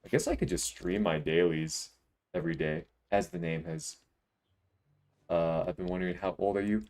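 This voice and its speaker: A man in his twenties with a deep voice, chatting casually and jokingly while live-streaming a video game. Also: lively intonation, moderate pace, a little mellow. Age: 20s. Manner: laid-back, joking, rambling.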